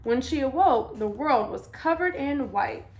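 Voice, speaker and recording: Female picture-book storyteller, higher-pitched, animated intonation, deliberate emphasis. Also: slight background noise, poor audio. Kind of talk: children's picture book read-aloud.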